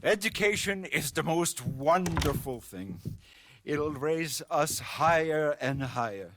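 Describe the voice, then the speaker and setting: An actor playing a pompous, wily politician in a Western video game, oratorical and hesitant. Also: male voice, a little high, lively intonation, slow pace, fairly bright tone. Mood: lofty. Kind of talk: video game cutscene acting.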